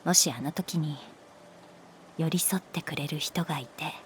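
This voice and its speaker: A woman voicing a calm, resigned, gently protective art teacher in a Japanese audio drama, speaking in a soft, measured, wistful way. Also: low-pitched, a little hiss or hum. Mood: wistful, confessional. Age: adult. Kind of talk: Japanese audio drama acting, intimate dialogue.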